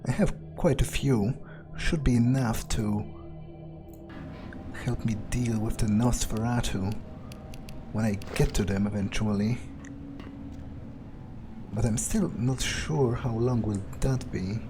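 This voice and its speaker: A man playing a wary, foul-mouthed vampire in an improvised video game roleplay, thinking aloud in halting, muttered asides. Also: mid-range voice, over music, close to an everyday voice. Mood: musing. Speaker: gamer in an in-character playthrough.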